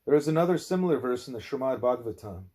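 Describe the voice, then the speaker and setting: A man reading a spiritual discourse aloud as an audiobook narrator, with deliberate, measured phrasing. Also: medium pitch, lively intonation, dark tone. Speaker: audiobook reader.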